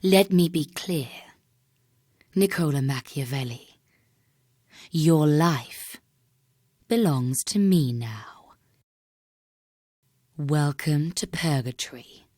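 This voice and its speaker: Casting: actress as imperious, menacing masked lady, extremely low female voice, slow and measured, ominous, audio drama. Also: animated, dark tone, dry recording, clean studio sound, exaggerated voice. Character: tall masked sinister noblewoman, imperious, menacing. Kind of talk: dramatic audiobook character acting.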